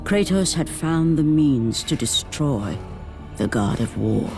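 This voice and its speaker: A grave storyteller of ancient war legend for a game. The delivery is slow and deliberate, in a fairly high male voice, with clear dramatic music behind it. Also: animated. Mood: grave, ominous. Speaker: narrator. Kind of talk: solemn epic scripted narration.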